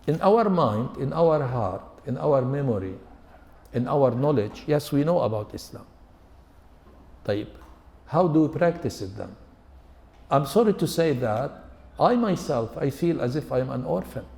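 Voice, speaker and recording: A man in his seventies from Lebanon, an Arabic speaker, giving a religious lecture in English at a measured pace, stacking lists and rhetorical questions. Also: medium pitch, animated, gravelly, a little mellow. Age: 70s. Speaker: Islamic scholar and lecturer. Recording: long hall reverb.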